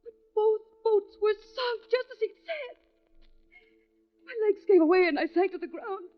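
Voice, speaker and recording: A woman's voice: very high voice, moderate pace, faint music behind. An actress playing a frightened, defiant young woman under threat, melodramatic in 1940s radio mystery style, on a dull vintage recording. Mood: haunted, distressed. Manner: melodramatic, emotionally wrought.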